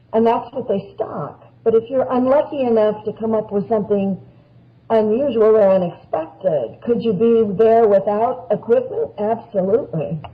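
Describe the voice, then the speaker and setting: A woman speaking as a guest expert, explaining practical matters in a plain-spoken, conversational way. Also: on the high side. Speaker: nurse, podcast guest. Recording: dull lo-fi podcast audio.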